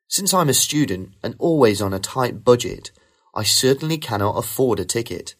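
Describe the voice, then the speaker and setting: A man reading rehearsed model answers for a speaking test in a smooth, even way. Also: mid-range voice, normal speed. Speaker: sample test candidate.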